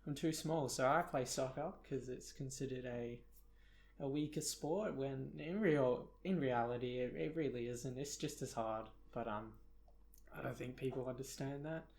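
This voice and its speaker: A man's voice: medium pitch, moderate pace, darkish tone. A young man from South Africa with a British accent, co-hosting a casual podcast chat in a rambling, self-deprecating way with many fillers. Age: young adult. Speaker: podcast co-host.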